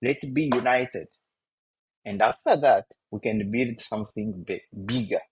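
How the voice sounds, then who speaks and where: A young man with a Rwandan accent, Kinyarwanda his first language, talking earnestly in a casual discussion and asking rhetorical questions in halting English. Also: mid-range voice, noisy background. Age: young adult. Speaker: youth discussion guest.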